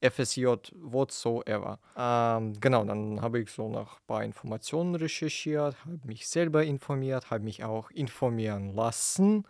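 Young man from Ukraine, student guest, casual and rambling, crisp clear tone. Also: mid-range voice, normal speed, hardly any pauses, darkish tone, dry recording, studio quality. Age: young adult. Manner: chatty, many fillers and self-corrections. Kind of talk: casual interview talk. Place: small studio.

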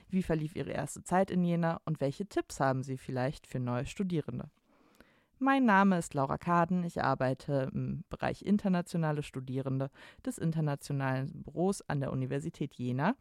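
Woman, podcast host, low voice, friendly interviewer, dry close sound. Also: vocal fry, a little mellow, no room sound. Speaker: podcast host, university staff.

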